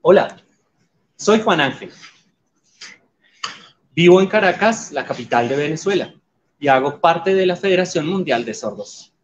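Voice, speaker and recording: Measured man interpreting. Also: a little high, expressive, a little hiss or hum. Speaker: sign language interpreter voicing the guests. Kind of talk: live voice interpreting. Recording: slightly muffled audio.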